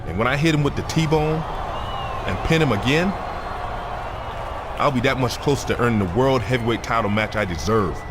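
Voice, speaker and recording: An actor playing a confident, ambitious wrestler in a video game cutscene, casual, assertive and cocky. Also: male voice, medium pitch, moderate pace, pauses often, natural voice. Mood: confident, persuasive. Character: confident, ambitious male wrestler proposing an alliance. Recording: clearly noisy background ambience.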